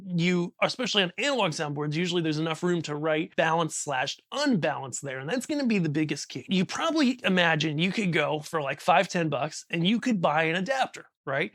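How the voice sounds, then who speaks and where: A man's voice: fairly high pitch, a bit bright, dry recording, clean studio sound. A man hosting a casual explainer tutorial, talking conversationally and often checking in with the listener. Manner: conversational, checks in with frequent "right?" and "okay?". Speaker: host, audio gear instructor.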